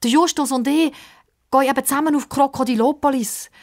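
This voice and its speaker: A woman's voice: high-pitched, a little fast, voice pushed up. A woman voicing a plucky, direct young girl in a children's story. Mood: earnest, pleading. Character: plucky, cheerful young football-loving girl.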